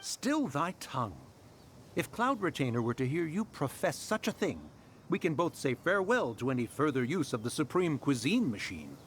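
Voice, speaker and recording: A man's voice: a little high, sing-song, moderate pace, a little hiss or hum. A man playing a stern, worried immortal in a video game, scolding in pompous, archaic language. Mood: alarmed scolding. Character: stern, anxious, scolding male immortal adeptus. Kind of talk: video game cutscene acting.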